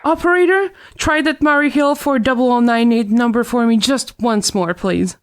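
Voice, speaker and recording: A woman's voice: on the high side, normal speed, clear, resonant tone, close to an everyday voice. A woman playing a nervous, demanding invalid wife in a melodramatic radio thriller. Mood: distraught. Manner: imperious, anxious, melodramatic. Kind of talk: old-time radio thriller acting.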